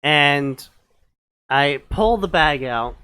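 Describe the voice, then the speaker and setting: A young man co-hosting a stream, telling work anecdotes in casual, deadpan chat with lots of fillers. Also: fairly high pitch, extremely slow, clean tone, no echo. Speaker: stream co-host.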